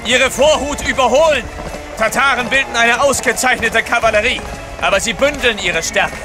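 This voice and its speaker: An actor playing a bold Russian courier in disguise, decisive and commanding, in an audio drama. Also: male voice, extremely high pitch, moderate pace. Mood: urgent. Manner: decisive, commanding, sure of himself. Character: bold, resolute Russian courier posing as merchant guide. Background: dramatic music.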